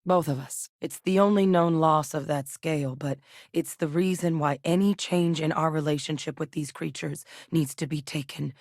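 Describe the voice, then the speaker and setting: A seasoned woman scientist and soldier for a video game. Her voice sits on the low side and is recorded close and dry, with no room sound. She speaks in a measured, matter-of-fact way, guarded yet earnest and determined. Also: steady tone, a little mellow, no echo. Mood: serious, measured. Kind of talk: video game character dialogue.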